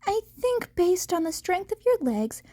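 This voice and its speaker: A woman's voice: very high-pitched, very animated, unhurried, warm tone, pitched above the performer's own voice, heavily acted. A woman voicing an earnest, analytical young male vigilante in a story, rambling as he over-explains.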